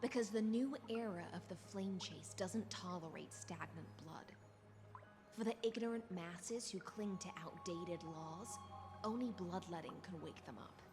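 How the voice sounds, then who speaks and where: An actress voicing a languid, menacing sea-born noblewoman in a video game, soft and unhurried, teasing and coolly philosophical. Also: fairly low pitch, music in the background. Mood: cold. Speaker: game character.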